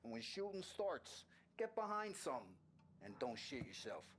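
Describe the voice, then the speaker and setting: An actor playing a gruff, joking older mob gunsmith who talks in a brash, teasing, swaggering way. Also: male voice, a little high, very animated, moderate pace, fairly bright tone. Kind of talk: video game cutscene acting.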